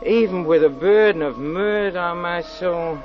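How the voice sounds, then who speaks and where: An unhinged, slow-speaking murderer. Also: male voice, high voice, music in the background. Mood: feverish, gloating. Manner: halting, stammering, with nervous chuckles and repeated words. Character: guilt-haunted man, a wife's murderer, unhinged and gloating. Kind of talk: 1940s radio horror acting, first-person narration. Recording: muffled old 1940s radio recording.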